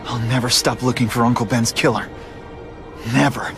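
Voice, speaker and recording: Guy doing young american superhero for a game, determined n wisecracking but hurting, medium pitch, big music behind. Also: steady tone, moderate pace, close to an everyday voice. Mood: grieving, resolute. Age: young adult. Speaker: main character and narrator. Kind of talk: video game voice acting. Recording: loud film-style music behind the voice.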